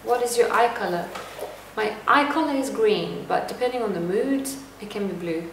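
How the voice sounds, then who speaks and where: A young woman from Lithuania vlogging a casual to-camera Q&A at home, calm and reflective as she reads out each question and answers it. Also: medium pitch, moderate pace, slight background noise. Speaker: lifestyle vlogger. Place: indoor room at home. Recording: echoey room, voice a bit distant.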